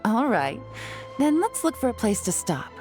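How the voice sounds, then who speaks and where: A woman voicing a poised, cool and teasing female agent in a video game, measured, suave and articulate. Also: on the high side, big pitch swings, a little mellow, soft background music. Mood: relaxed, teasing. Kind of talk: dramatic video game voice acting.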